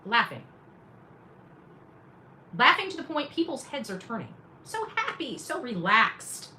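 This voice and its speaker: Clear background noise sits behind a woman's medium-pitched voice as she talks to a livestream audience. Her commentary is casual and unscripted, with emphatic repetition and dry sarcastic asides. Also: bright, forceful tone, poor audio. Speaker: independent journalist and livestream host.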